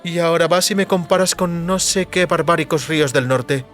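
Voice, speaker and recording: An actress playing a haughty, teasing sorceress in an audiobook drama, speaking in an imperious, ornate, lecturing way. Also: female voice, lowish voice, moderate pace, close to an everyday voice. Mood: coquettish, haughty. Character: haughty, teasing sorceress lover, woman, demanding compliments. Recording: music behind the voice.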